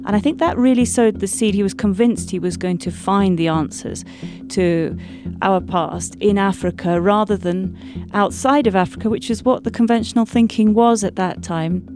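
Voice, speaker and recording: A woman's voice: mid-range voice, normal speed, music in the background. A woman paleontologist being interviewed, explaining in a thoughtful way. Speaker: paleontologist guest.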